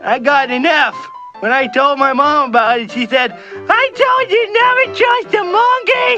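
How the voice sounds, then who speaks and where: A man voicing a dim, childlike cartoon creature who loudly declaims a silly school story. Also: very high-pitched, expressive, normal speed, muffled recording, faint music behind, voice pushed up, full character voice. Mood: goofy, excitable.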